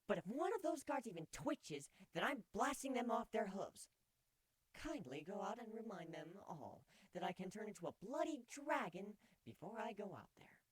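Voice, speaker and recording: Casting: whiny, defensive shapeshifting pony mare for fantasy audio story, high voice, lively swings, played by a man. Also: moderate pace, poor audio. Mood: grudging, threatening. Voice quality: whiny, higher-pitched feminine voice. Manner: reluctant, huffy.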